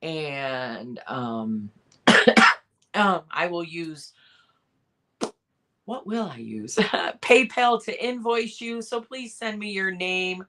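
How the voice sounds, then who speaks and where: A hoarse woman with a cough, hosting a casual live sale and chatting in a rambling way with many pauses and restarts. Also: on the low side, animated, bright, forceful tone. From Michigan, USA. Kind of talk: casual live-stream chat.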